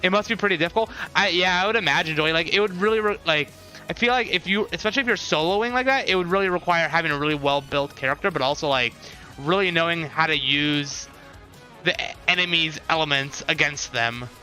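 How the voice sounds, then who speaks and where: A man's voice: on the high side, a little fast, sharp tone, soft background music, poor audio. A man in casual live stream chat, thinking aloud and talking with his viewers as he reacts.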